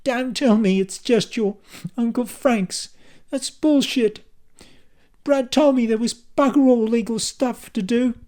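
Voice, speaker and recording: A man voicing a young wife who angrily accuses her husband in a raised, falsetto-like voice. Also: slow, a little mellow. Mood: accusing. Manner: high-pitched, accusing, sarcastic.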